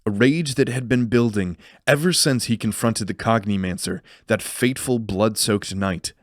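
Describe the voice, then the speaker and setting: A man's voice: fairly low pitch, moderate pace, no room sound, clean studio sound. A man reading scripted narration for a fantasy audio drama in a serious, brooding storytelling manner.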